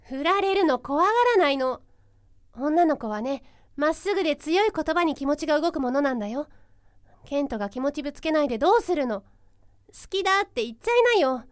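An actress playing a spirited, caring and bossy college-age ghost girl in a Japanese voice drama, with an expressive, emphatic delivery. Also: high-pitched, a little fast, no room sound, studio quality. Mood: pushy, teasing. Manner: emphatic, expressive, pushy yet tender. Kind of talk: Japanese audio drama acting.

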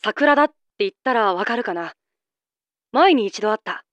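A woman's voice: mid-range voice, animated, moderate pace, a bit bright, dry recording, natural voice. A woman voicing a breezy, secretive, boyish reporter in a voice drama, with light, evasive, casual wording. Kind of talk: Japanese amateur voice drama acting.

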